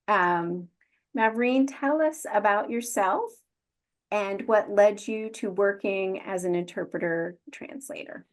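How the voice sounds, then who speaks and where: Woman webinar host, slow and hesitant, mid pitch, video call. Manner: slow, hesitant with many ums. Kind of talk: introducing guests.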